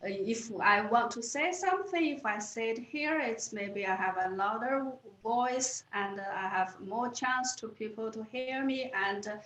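Slow, earnest woman with a Chinese accent. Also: fairly high pitch, fairly bright tone, roomy sound, rough recording. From China. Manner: earnest, halting with restarts and repeated words. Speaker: guest. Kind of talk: interview answers.

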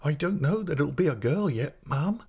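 A man's voice: a little high, animated, moderate pace, warm tone, breathy edge, pitched above the performer's own voice. A man voicing a meek, nervous young widowed mother in an audiobook, timid, faltering and plaintive. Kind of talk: audiobook character voice.

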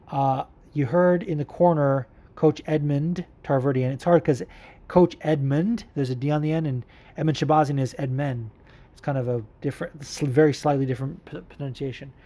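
A man's voice: medium pitch, warm tone. A man hosting a casual podcast, answering listener questions about mixed martial arts in a candid, profane, rambling way. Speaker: podcast host.

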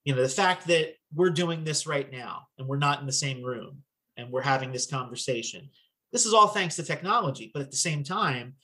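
A man's voice: mid-range voice, lively intonation, brisk, pushed and bright, rough recording. A man with a Philadelphia accent hosting a podcast, talking in an easygoing, reflective way. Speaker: podcast host. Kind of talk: casual chat.

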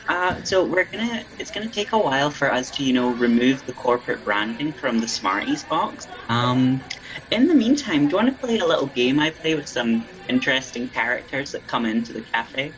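A host running an improvised comedy interview in a deadpan, teasing way with absurd jokes. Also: medium pitch, moderate pace, faint music behind, rough recording.

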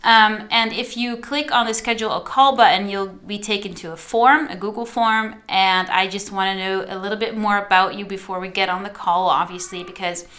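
Bright-voiced chatty woman podcaster. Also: mid-range voice, fairly even intonation, moderate pace, few pauses. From Netherlands. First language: Dutch. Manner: chatty, addresses listeners directly, many fillers. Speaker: podcast host and social media coach. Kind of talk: casual solo podcast monologue.

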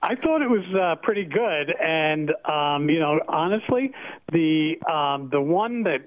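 A man's voice: on the high side, animated, a little slow, dry recording. A man calling in to a radio show over a narrow phone line, chatting casually with wry teasing. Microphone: telephone.

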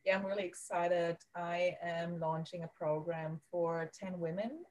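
A woman with a German accent, a guest on a podcast interview, speaking softly and haltingly, searching for words as she goes. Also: fairly low pitch, steady tone, low-quality recording. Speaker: guest, women's coach.

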